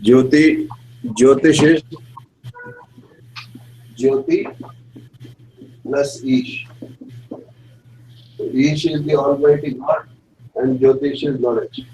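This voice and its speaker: A man's voice: mid-range voice, fairly even intonation, mellow, low-quality recording. Indian male teacher, lecturing plainly in simple English, faint hiss behind. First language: Hindi. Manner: explains slowly in simple English, checks with "right?". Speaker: astrology teacher. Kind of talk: classroom lecture.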